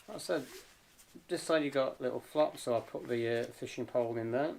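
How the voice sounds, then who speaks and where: A man giving a casual, unscripted hands-on gear review as an amateur radio vlogger, pausing and feeling his way along. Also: mid-range voice, a little mellow. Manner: hesitant, informal, unscripted. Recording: clear background noise and clicks.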